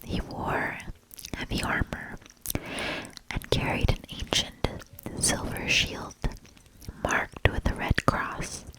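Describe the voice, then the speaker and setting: A woman reading a storybook aloud for ASMR in a breathy whisper, gentle and deliberate, lingering between short phrases. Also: poor audio.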